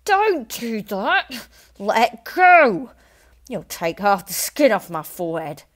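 A woman reading aloud, voicing an irritable, blustering middle-aged man who shouts and complains. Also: low voice, animated, fast, heavily acted. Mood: pained yelling. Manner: yelling, indignant, loud.

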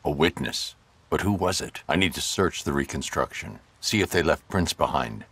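A man playing a grim, brooding vigilante detective in a video game, low and gravelly, terse and stern. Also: animated, moderate pace, a bit bright, heavily acted. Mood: grim, analytical.

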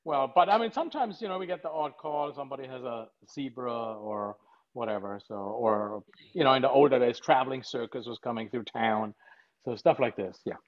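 A man's voice: medium pitch, brisk. A middle-aged man from Germany with a German accent, a vet chatting as an interview guest from his home, joking and telling stories. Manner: jokey, chatty storytelling with frequent fillers. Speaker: veterinarian guest. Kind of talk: casual video-call interview. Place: home living room. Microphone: video call webcam. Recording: slightly dull video-call audio.

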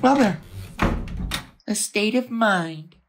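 A woman's voice: mid-range voice, expressive, slow, background noise. A woman playing an irate, blustering male landowner in an audio play, ranting with theatrical exasperation. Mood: exasperated ranting.

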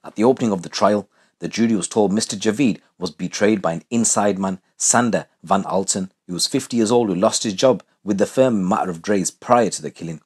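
A man with a husky voice reading scripted true crime narration. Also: fairly low pitch, fairly quick, a little mellow.

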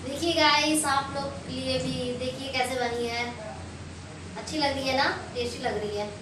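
A woman's voice: high-pitched, normal speed, roomy sound, recorded from a distance, background noise. A young woman vlogger chatting casually to camera in a small bedroom. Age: young adult. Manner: chatty, animated and giggly. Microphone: phone camera at arm's length.

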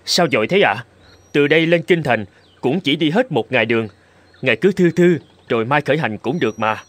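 Eager, high-pitched servant. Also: male voice, a little fast, no echo. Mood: puzzled. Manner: deferential, flattering. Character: eager, deferential male household steward. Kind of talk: Vietnamese animated folk tale dialogue.

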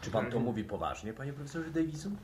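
An actor playing a wry, articulate scientist in a 1960s radio drama, speaking in a polished, formal, argumentative way. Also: male voice, medium pitch, animated, fast, a crowd in the background, close to an everyday voice. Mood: disbelieving.

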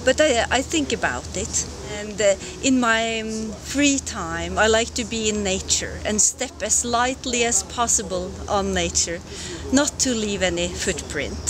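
A woman from Norway giving measured, reflective interview answers outdoors. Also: medium pitch, background noise. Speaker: interviewee, researcher. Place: outdoors among trees.

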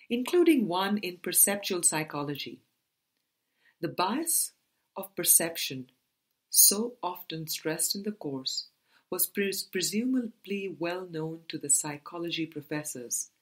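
A woman with a very low-pitched voice reads from a book. Her pace is very slow, and each phrase is set out in a measured, deliberate way, as in an audiobook. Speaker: audiobook reader. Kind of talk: scripted book reading.

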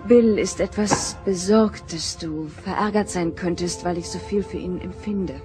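A woman's voice: on the low side, fairly even intonation, unhurried, natural voice. An actress playing a sincere, composed young female starship officer in a radio drama. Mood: earnest. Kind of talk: German radio play acting. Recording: loud music behind radio drama.

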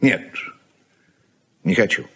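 An actor in a Soviet-era radio play, playing a busy, touchy middle-aged husband who grumbles and talks in a curt, sardonic way. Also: male voice, takes it slowly, fairly bright tone. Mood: irritated. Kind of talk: Soviet 1980s radio drama acting.

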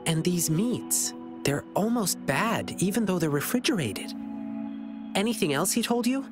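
An earnest, friendly lion-eared boy from a video game, speaks. The voice is fairly low for a woman and swings expressively, with music behind it. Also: lively intonation, a little slow. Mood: concerned, earnest. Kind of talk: scripted video game dialogue.

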